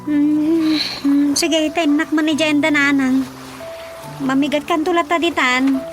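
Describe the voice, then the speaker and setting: A woman's voice: high-pitched, level tone, slow, faint music behind. A woman playing a councilman's homely wife in an Ilocano radio drama comedy, talking in a conversational, questioning way.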